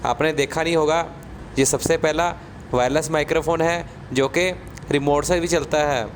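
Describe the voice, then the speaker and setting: Higher-voiced man presenting a tech unboxing. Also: steady tone, moderate pace, slight background noise. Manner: explanatory, step-by-step. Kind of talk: casual product unboxing narration.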